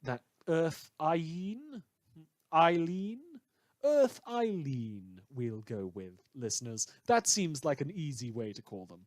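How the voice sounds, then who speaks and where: A man's voice: fairly high pitch, big pitch swings, slow, a little mellow, no echo. A man playing an opinionated, wry radio news host, delivering a chatty, theatrical monologue with sardonic asides in an audio drama. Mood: wry, musing. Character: opinionated, indignant, wry male small-station radio presenter.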